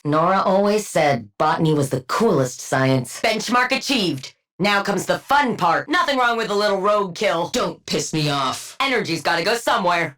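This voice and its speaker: A woman's voice: fairly low pitch, fairly bright tone. An actress voicing a brainy, exacting woman scientist supervillain for a video game, precise and nerdy, with a dry sardonic edge. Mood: smug, dry. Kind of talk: video game combat barks and banter.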